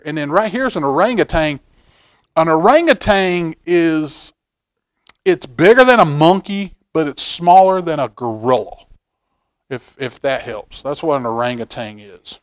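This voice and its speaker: A middle-aged man reading a picture book aloud to children in a folksy, expressive way, with chatty asides. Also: a little high. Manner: folksy, expressive, asking the listener questions. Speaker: library storytime reader. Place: indoor library children's room.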